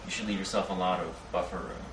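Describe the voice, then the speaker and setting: A man's voice: sits low, fairly even intonation, quick pace. Young male student, plain direct delivery; distant, roomy, noisy recording. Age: young adult. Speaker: student panelist. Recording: roomy, distant mic with background noise.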